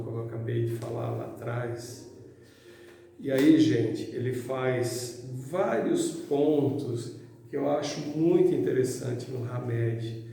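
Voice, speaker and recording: A man's voice: mid-range voice, gravelly, dark tone, slight background noise. A middle-aged Brazilian man giving an informal spiritual talk to an audience in a conversational, colloquial way. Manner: conversational, colloquial, acts out imagined objections. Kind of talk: informal motivational sermon to an audience. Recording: very echoey hall, distant microphone.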